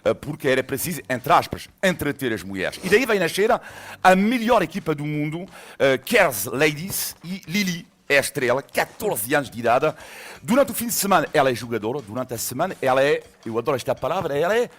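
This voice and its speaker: A middle-aged man from France with a French accent, telling a story as a radio columnist in a lively, laughing way with hesitations. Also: fairly high pitch, fairly quick. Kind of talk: morning radio segment, storytelling.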